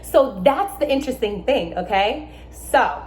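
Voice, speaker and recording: A young adult woman with a fairly high voice talks through astrology in a casual, emphatic way. Her voice rings in an echoey room with a faint hum of ambience behind it. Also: a little slow. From born in Germany, raised in America. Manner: animated, emphatic, with asides and laughs.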